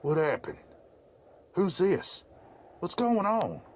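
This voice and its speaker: A man's voice: sits high, big pitch swings, slow, a little hiss or hum, full character voice. A man playing an older, devout, plain-spoken rural farmer in a motion comic. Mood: urgent. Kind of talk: dramatized motion comic dialogue.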